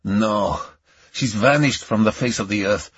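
A man's voice: lowish voice, a little slow, husky, dry recording, lo-fi sound. A man voicing a broke, sardonic young male drifter in an audiobook, weary and blunt. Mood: weary, bitter. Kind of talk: audiobook character dialogue.

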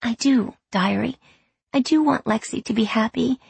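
Woman reading as a confiding, slightly husky teenage girl. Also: fairly high pitch, moderate pace, darkish tone, no room sound, pitched above the performer's own voice, heavily acted. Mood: wry, earnest. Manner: confiding, self-mocking. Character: earnest teenage high school girl confiding in her diary. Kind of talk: audiobook reading of diary entries.

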